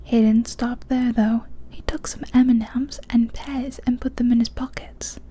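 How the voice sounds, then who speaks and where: Woman, whispering, ASMR story narration, steady, slightly high, mellow. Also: moderate pace, slightly rough.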